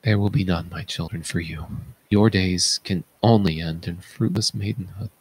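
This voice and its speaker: A man's voice: low-pitched, steady tone, moderate pace, breathy edge, poor audio. A man playing a blinded fallen king, an anguished and tender father, in a theatrical reading of Greek tragedy. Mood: grieving. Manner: theatrical, grief-laden declaiming. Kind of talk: read-aloud Greek tragedy.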